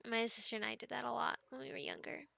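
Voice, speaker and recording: A young woman in an ASMR girlfriend roleplay, chatting softly and wandering from thought to thought with little laughs. Her voice is bright and a touch high. It is recorded close and dry. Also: fairly even intonation, fast talker, gravelly, no room sound. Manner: soft, chatty and rambling, with many ums and light laughs.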